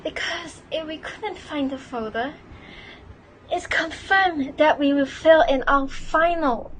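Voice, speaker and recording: A woman's voice: high voice, slow pace, fairly bright tone, a little hiss or hum, rough recording. A woman playing a silly, dramatic female student in an amateur reader's theater piece. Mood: anxious, relieved.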